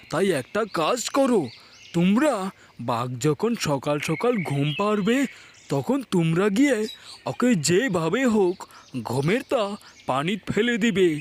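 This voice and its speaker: A man's voice: high-pitched, slight background noise. A man voicing a cunning cartoon crocodile who talks like a friendly, persuasive schemer. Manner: persuasive, explanatory, coaxing. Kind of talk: cartoon character acting.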